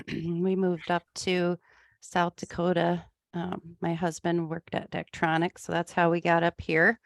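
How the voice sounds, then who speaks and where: A woman from Iowa with a low, gravelly voice, answering questions in an easygoing way and often pausing with "um." The sound is dry and close. She is a music therapist talking with an interviewer. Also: completely flat delivery, no room sound. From Iowa, USA. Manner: easygoing, frequent ums, light laughs.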